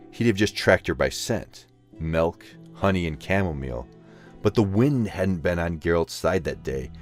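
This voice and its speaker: A man narrating a scripted story as the narrator of an audio drama. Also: sits low.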